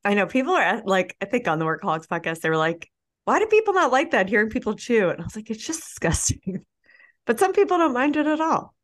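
A woman's voice: a little high, sing-song, fast. A woman co-hosting a podcast, chatting casually, laughing often and using lots of fillers. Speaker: podcast co-host. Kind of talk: casual chat.

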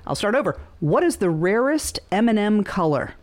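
A woman hosting a phone-in quiz in a casual, chatty, bantering way, quick to laugh. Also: mid-range voice, big pitch swings. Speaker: radio show host running a phone-in quiz.

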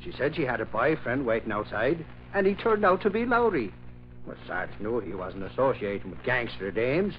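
A man acting an excitable, blustery newspaper reporter with slangy talk in an old-time American radio drama. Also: mid-range voice, moderate pace, lightly acted. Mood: animated, gossipy. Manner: blustery, exasperated, colloquial. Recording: muffled, hissy old radio recording.

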